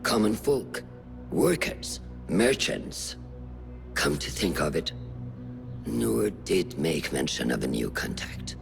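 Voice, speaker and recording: An actor playing a measured, gravelly-voiced man giving a briefing in a video game cutscene. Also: low voice, full character voice.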